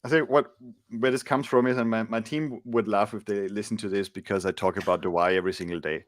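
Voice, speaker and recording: A man's voice: fairly low pitch, steady tone, quick pace, dry recording. A man in his forties from Austria, explaining things thoughtfully as a guest on a video call, with frequent restarts. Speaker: guest, SEO agency founder. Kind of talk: casual interview chat. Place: home room, indoors. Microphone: large studio mic on arm.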